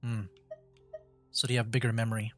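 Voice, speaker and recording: A man's voice: medium pitch, completely flat delivery, brisk, fairly bright tone. A man giving casual commentary as he streams a video game. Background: game music.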